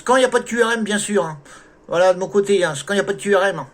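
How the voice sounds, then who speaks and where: A man's voice: high-pitched, racing, a bit bright. A man making casual conversation as an amateur radio operator, often tagging his phrases with little filler words. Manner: frequent "hein" and "là" tags. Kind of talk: casual radio contact chat. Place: at home radio station. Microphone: desk microphone through a mixer.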